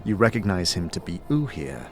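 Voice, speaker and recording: A man with a fairly deep voice narrates a fantasy audio drama. His delivery is scripted, measured and dramatic, addressing the listener as the hero, with soft music underneath. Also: sing-song.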